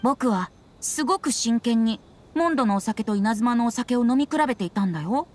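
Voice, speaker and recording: A woman's voice: a little high, lively intonation, normal speed, close to an everyday voice. A woman voicing a youthful, carefree, whimsical boy bard in a Japanese anime game, playful and airy. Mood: breezily defensive.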